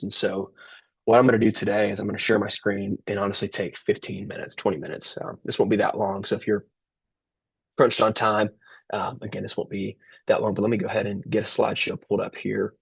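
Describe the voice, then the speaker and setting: A man's voice: lowish voice, vocal fry, breathy edge, no echo, low-quality recording. A young man with a head cold and a nasal voice, casually presenting a webinar over a video call. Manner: relaxed, filler-heavy, restarts. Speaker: webinar host.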